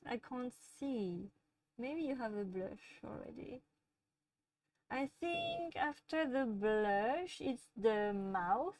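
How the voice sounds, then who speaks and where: A woman with a French accent chats slowly and gently with her viewers during a livestream, laughing often as she goes. Her voice sits a little high. She is set back from the microphone in a dry, dead-sounding room. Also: no room sound, distant mic. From France. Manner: gentle, chatty, laughs often, talks softly to her pets. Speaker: livestream host. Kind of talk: casual live chat answering viewers.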